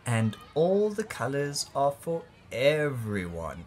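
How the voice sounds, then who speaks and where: A storyteller reading a picture book aloud to young children with playful, deliberate, drawn-out phrasing. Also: mid-range voice, sing-song, darkish tone, a little hiss or hum. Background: music and sound effects.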